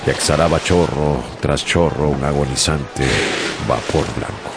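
A man narrating a seafaring story as a sailor in a radio drama, measured and storytelling. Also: very deep voice, gravelly, very noisy, heavily acted. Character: man, sailor recounting a whale hunt.

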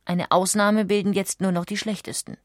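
A woman reading scripted documentary narration with measured, factual delivery. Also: medium pitch, flat delivery, no echo, clean studio sound.

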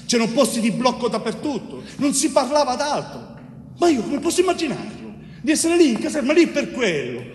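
An actor playing a weary, pleading middle-aged man, wrongly accused, in a halting, emphatic theatrical monologue. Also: very high-pitched, sing-song. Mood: confused, anguished. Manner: emphatic, halting, addresses a judge directly. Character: wrongly accused Sicilian bricklayer, fifty-one, weary, anguished, pleading. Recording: roomy, distant stage recording with hiss.

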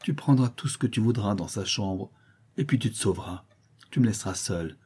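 A man's voice: fairly low pitch, fairly quick, dark tone, breathy edge. A man voicing a blind old brigand, desperate and pleading, sliding toward madness. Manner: pleading, raving.

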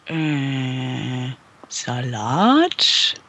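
A woman with a cold, her nose plainly blocked, talks in a casual, laughing way. Her pitch swings widely and her tone stays husky. It sounds like a relaxed game-night podcast chat. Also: extremely slow. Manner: lively, laughs often. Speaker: podcast host and player.

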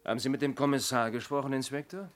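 An actor playing a brisk, questioning policeman in a German radio play, businesslike and inquisitive. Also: mid-range voice, fairly even intonation, over music, lightly acted.

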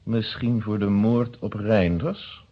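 Husky, shrewd police inspector. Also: male voice, on the low side, fairly even intonation, slow pace. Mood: coolly accusing. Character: male police inspector, shrewd, methodical, quietly triumphant accuser. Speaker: actor in a radio detective play. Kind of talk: Dutch radio drama acting, formal deduction. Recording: dull, muffled old radio recording.

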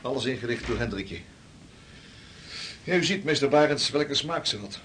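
A courteous, bereaved seafarer played by a man in a radio play. He talks haltingly in a middling pitch, subdued and polite. Faint noise sits behind the voice. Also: animated, a bit bright, lightly acted. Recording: noisy, with background ambience.

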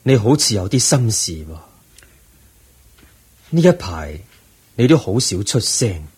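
An actor playing an aged, serene Buddha in a Cantonese radio drama, with a Hong Kong accent and a solemn, measured, husky delivery. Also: male voice, on the low side, very animated, darkish tone, exaggerated voice. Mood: calm, firm. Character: aged, serene Buddha, eighty, weary yet calm teacher.

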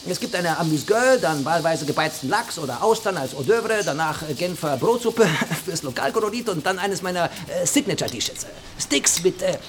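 An actor playing a bossy, excitable South American chef in a German radio drama, hectic and full of exclamations. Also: male voice, high-pitched, a little fast, few pauses. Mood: hectic, animated. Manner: hectic, peppers talk with Spanish exclamations. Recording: noisy busy kitchen with sizzling pans.

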